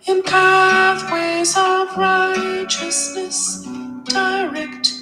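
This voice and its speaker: Woman singing very high and slow. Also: little pitch movement. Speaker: singer. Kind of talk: slow devotional song. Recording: reverberant, with plucked guitar accompaniment. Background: guitar music.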